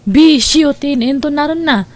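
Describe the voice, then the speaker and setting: A woman's voice: high voice, unhurried, darkish tone. A woman with an Ilocano Filipino accent playing a friendly, supportive cousin in an audio drama, chatty and sympathetic.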